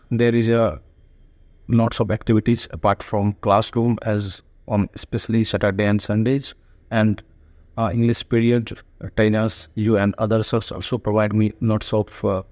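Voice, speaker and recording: Hesitant man, low dry voice. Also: darkish tone, dry recording. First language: Hindi. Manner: hesitant, many pauses, politely says sir. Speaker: student and author being interviewed. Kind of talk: halting conversational answers.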